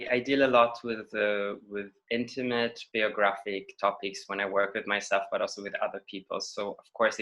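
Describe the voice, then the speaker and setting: Bright-voiced, low-pitched Israeli man, reflecting. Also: rough recording. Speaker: interview guest, choreographer and dancer. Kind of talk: reflective interview talk.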